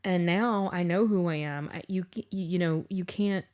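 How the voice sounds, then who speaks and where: Blunt, candid woman, low voice. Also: moderate pace, a little mellow. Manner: blunt, candid, laughs easily. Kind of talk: casual chat.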